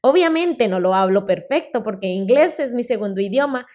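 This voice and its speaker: A woman's voice: fairly high pitch, expressive, normal speed, a little mellow. A woman in her thirties with a Colombian accent, chatting casually as she tells her own story on her solo podcast. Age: 30s. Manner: chatty and personal, uses fillers like "eh" and "pues". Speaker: podcast host.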